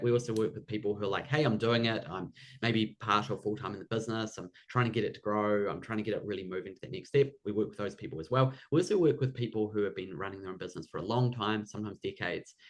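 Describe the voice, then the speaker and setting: Male workshop host, New Zealand accent, lower-pitched voice, encouraging coach who often checks for agreement. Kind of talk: live online workshop presentation.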